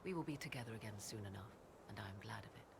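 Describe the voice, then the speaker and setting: An aloof witch from a fantasy game. She speaks in stately, archaic phrasing with dry disdain. Her voice carries a gravelly fry, and clear background noise sits under the game audio. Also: extremely low pitch, expressive, very fast, heavily acted. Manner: formal, archaic diction, haughty and dry. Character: proud, aloof female witch and mother. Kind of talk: video game cutscene dialogue.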